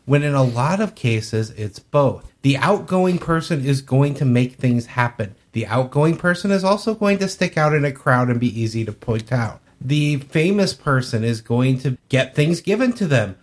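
A man's voice: mid-range voice, lively intonation, normal speed, few pauses. A man co-hosting a podcast, giving his opinions in casual discussion. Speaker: podcast co-host.

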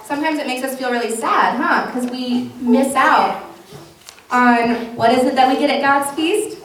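A woman's voice: high-pitched, normal speed, fairly bright tone. A woman pastor speaking to a congregation in simple, encouraging language, as in a children's message and sermon. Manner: encouraging, simple questions and repeating answers back. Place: indoors, large reverberant church. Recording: very echoey church, distant mic, faint noise.

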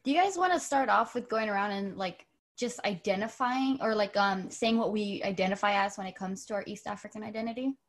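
A young woman hosting a casual podcast chat, relaxed and a little hesitant. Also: mid-range voice, a little fast. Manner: relaxed, hesitant, filler words. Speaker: podcast host.

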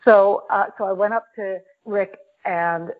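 A woman guest on a podcast telling a personal story in a reflective, halting way, with many restarts. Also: medium pitch, steady tone. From New York. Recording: tinny phone-line audio.

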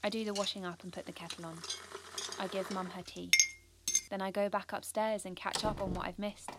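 A woman's voice: mid-range voice, level tone, a little fast, heavy background noise, lightly acted. A British woman playing an earnest, driven teenage schoolgirl activist, confiding in the listener in an audio drama monologue. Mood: subdued, resolute.

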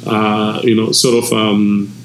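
A man from Zimbabwe whose first language is Shona, a DJ telling his story in a casual, animated way with laughs and shout-outs, in a restaurant lounge. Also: lowish voice, takes it slowly, reverberant, slight background noise, rough recording. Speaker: DJ guest.

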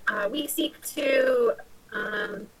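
A woman's voice: on the high side, very slow, creaky, distant mic, poor audio. A woman playing in a tabletop game, speaking hesitantly with many fillers. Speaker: player.

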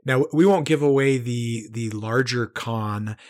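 A man's voice: mid-range voice, measured pace, darkish tone. A man hosting a podcast, interviewing a guest in a conversational way. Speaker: podcast host.

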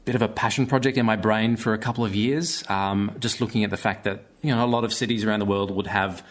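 A man's voice: fairly low pitch, fairly even intonation, fast talker. An Australian man speaking conversationally as an interview guest, earnest and hesitant as he searches for his words. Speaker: guest, theatre maker and actor.

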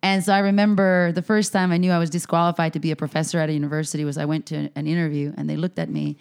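Woman from Finland, comedian, lowish voice, animated storyteller, swears freely, lots of fillers. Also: racing, clean tone. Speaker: comedian and health coach. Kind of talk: casual podcast chat.

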